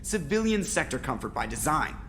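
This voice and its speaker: Snarky spaceship pilot for a game, voice swings all over, faint noise behind. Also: male voice, on the high side, a little fast. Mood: excited. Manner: sardonic, excitable. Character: wisecracking, sarcastic male starship pilot. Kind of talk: video game voice acting.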